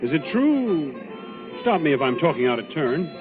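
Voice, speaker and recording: A commanding, alert naval officer, a man with a fairly high, animated voice. It is old-time radio drama on a dull recording with music behind. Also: normal speed. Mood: polite, probing. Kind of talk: 1940s radio acting.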